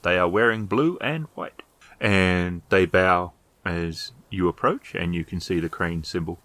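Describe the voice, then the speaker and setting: A man acts as storyteller for a tabletop game in a very low voice. He speaks slowly and deliberately, setting out each scene with care. The sound is dry and close. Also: a bit bright, no room sound. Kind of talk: narrating a tabletop role-playing game.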